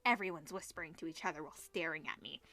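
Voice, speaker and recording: Girl doing a visual novel let's play, bright voice, reads lines then comments casually, soft music behind. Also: sits low, fast talker, vocal fry. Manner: reacts aside while reading, informal. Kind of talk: reading game text aloud with casual commentary.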